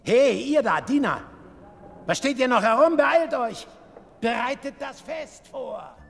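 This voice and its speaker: A man's voice: very high-pitched, lively intonation, takes it slowly, creaky, a bit bright, exaggerated voice. An actor playing a troubled, volatile king in a theatrical, commanding manner in a Bible audio drama. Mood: commanding, excited. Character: troubled, volatile king, swinging from anguish to booming festivity.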